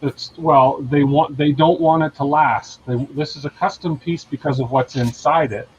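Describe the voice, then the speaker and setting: A man's voice: fairly high pitch, fairly even intonation, normal speed, slightly rough, lo-fi sound. A man with a Canadian accent joking around in a casual video-call chat, his mic set across the room. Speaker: hangout participant. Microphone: wrong mic far across the room.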